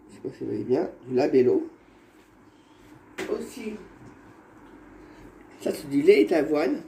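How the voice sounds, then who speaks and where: A man with a cold talks his viewers through his home remedies in a rambling, halting way, circling back over the same phrases. His voice is fairly high, dark and mellow. The sound is caught on a phone in an echoey room with a faint hiss behind him. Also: big pitch swings, creaky. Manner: rambling, repeats himself, halting restarts. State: has a cold. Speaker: vlogger. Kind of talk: casual home how-to vlog.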